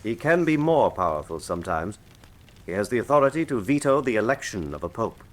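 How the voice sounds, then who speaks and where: Grave worldly cardinal in a radio play, lowish voice, dignified but with lively dramatic intonation. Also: male voice. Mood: guarded, assured. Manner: measured, dignified, dramatic storytelling. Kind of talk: full-cast radio drama acting.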